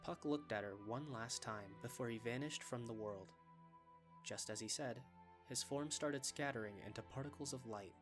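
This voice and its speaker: A man narrating a scripted fantasy story for an anime fan dub. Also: medium pitch.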